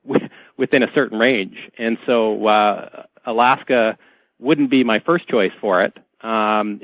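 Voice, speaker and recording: A man calling in to a radio discussion, explaining things in a hesitant, halting way over dull, muffled audio. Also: fairly low pitch, no echo. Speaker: guest caller.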